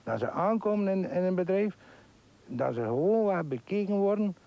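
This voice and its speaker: A Flemish man in his fifties answering interview questions, animated, acting out a conversation as he tells it. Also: high voice, normal speed, creaky, dull audio.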